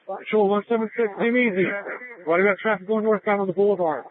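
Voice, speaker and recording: A man's voice: high voice, fast talker, narrow, tinny audio. A male police officer making urgent radio reports, heard through a police scanner. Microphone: two-way police radio.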